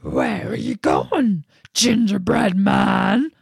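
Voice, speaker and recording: A woman voicing a big, gruff bear who growls in a storybook tale. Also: medium pitch, huge pitch swings, slow pace, darkish tone, dry recording, clean studio sound, full character voice. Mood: menacing.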